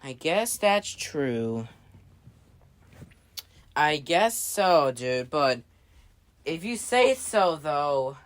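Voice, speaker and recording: A woman playing a laid-back, doubtful dude with a slangy, halting delivery in improvised amateur dialogue. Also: deep voice, big pitch swings, pitched below the performer's own voice, full character voice. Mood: wary.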